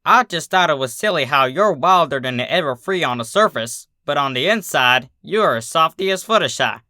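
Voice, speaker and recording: An easygoing young female farm pony from a storybook, voiced by a narrator. The delivery is folksy and teasing, with a bright, lively tone. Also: mid-range voice, normal speed, dry recording, clean studio sound.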